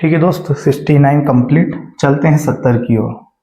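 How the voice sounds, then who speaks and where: A man's voice: a little high, dark tone, echoey room, lo-fi sound. A man teaching a math lesson for exam prep, explaining step by step and often checking that students follow. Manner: stretches key phrases slowly while reading the question aloud.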